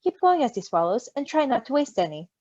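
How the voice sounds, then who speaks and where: A woman's voice: high voice, very animated, quick pace, a little mellow, no room sound, dull audio. A woman voicing a precise, practical beaked male creature who gives formal instructions in a storybook. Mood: instructive.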